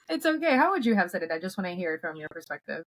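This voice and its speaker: A woman's voice: on the low side, sing-song, quick pace. A woman in her twenties from Haiti, a native French and Creole speaker, chatting casually as a podcast guest. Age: 20s. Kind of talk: casual interview chat.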